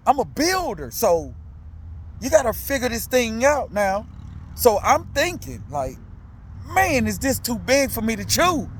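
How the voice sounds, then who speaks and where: A man's voice: sits high, slight background noise. A father in his thirties or older, telling his own story in candid, slangy talk, repeating himself and asking listeners if they follow. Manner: animated, repetitive, slangy storytelling with many asides to listeners.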